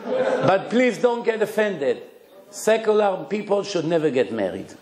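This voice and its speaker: Blunt Israeli rabbi lecturing in echoey room. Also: male voice, high-pitched, sing-song, a little slow, a crowd in the background. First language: Hebrew. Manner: blunt, emphatic, short punchy phrases, rhetorical questions. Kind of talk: lively religious lecture to an audience.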